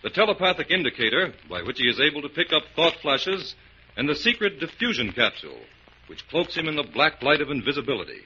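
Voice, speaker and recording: A man's voice: on the high side, big pitch swings, fast talker, pushed and bright, husky. A man announcing in an emphatic, declamatory 1940s radio narration style. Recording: muffled, noisy 1940s radio recording.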